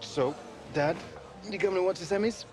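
An actor playing a modest, easygoing young footballer with a British accent, talking casually. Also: male voice, high voice, brisk, lightly acted. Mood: playful. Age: young adult. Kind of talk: casual dialogue in game cutscene.

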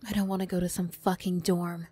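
A woman voicing a wounded, bitter young bully girl, defensive and vulnerable, in a halting ASMR roleplay style. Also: lowish voice, flat delivery, dark tone, husky. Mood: angry, flustered. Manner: halting, swearing, emotional, slow delivery.

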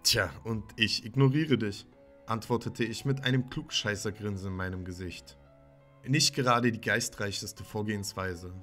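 Guy doing bitter troubled teen boy telling a creepypasta, brooding n dramatic, forceful tone, bit of music behind. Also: on the low side, moderate pace, close to an everyday voice. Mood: defiant, tense. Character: sullen, violent, troubled teenage son narrating. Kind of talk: scripted first-person horror narration.

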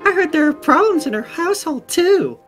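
A woman's voice: very high voice, sing-song, fairly quick, faint music behind. A woman voicing a dramatic, gossipy schoolgirl in a comic dub, girlish and exclamatory.

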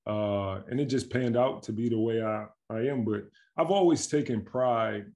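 A man from Milwaukee with a gravelly, fry-tinged voice talks about his life in basketball. He is in his thirties and speaks in a loose, slangy way, often breaking into laughter. He is on a video call from his home. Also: fairly low pitch, normal speed. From Milwaukee, Wisconsin, USA. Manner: relaxed, slangy, animated storytelling with frequent laughs. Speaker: guest, pro basketball player. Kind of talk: casual interview chat. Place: home with staircase behind, indoors. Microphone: video call webcam.

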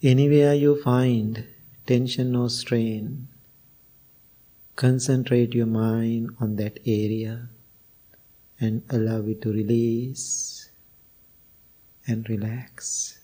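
Slow, mellow man guiding meditation. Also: medium pitch, level tone. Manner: slow, unhurried phrases with long pauses. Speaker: Buddhist monk and meditation teacher. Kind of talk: guided meditation and spiritual talk.

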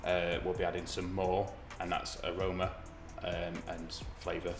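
A man's voice: low voice, completely flat delivery, a little slow. A man, around forty, a brewer explaining things in a documentary interview in a brick-walled room. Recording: roomy echo, music underneath.

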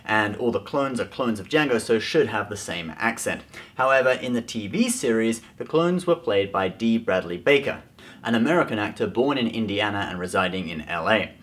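Young guy hosting a film explainer vid, lowish voice, talking to camera. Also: expressive, moderate pace, fairly bright tone, a little hiss or hum. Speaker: host of a film trivia video. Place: small indoor room at home.

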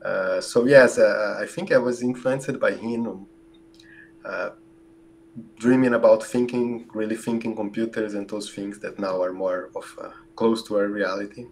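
A man in his forties with a Brazilian Portuguese accent, answering interview questions in a hesitant way full of fillers and restarts, on a boom-arm studio mic in a home office. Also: fairly even intonation, a little slow, slight background noise, low-quality recording. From southern Brazil. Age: 40s. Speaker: guest. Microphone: large studio mic on a boom arm.

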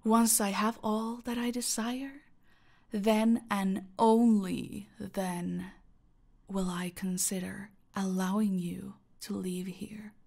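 An actress playing a menacing, silky, aristocratic cartel boss in a roleplay audio drama, condescending and deliberate, often sinking toward a whisper. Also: female voice, medium pitch, steady tone, vocal fry, darkish tone. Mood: mocking, threatening.

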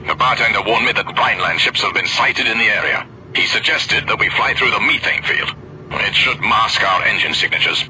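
A man voicing a steady, protective space pilot who speaks in a calm, formal way over radio chatter in a game. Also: on the low side, a little fast, background noise, lightly acted. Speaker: wingman ally in a space game.

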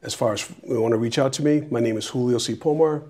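Middle-aged man from Peru, mayoral candidate in a studio interview; earnest, halting delivery, mid-pitched voice. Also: mellow. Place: small TV studio. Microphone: lapel mic.

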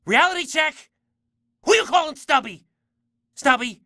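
An actor playing a small, mouthy, excitable undead sidekick in a video game, theatrical and easily indignant. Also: male voice, very high-pitched, a little slow, full character voice. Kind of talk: video game cutscene acting.

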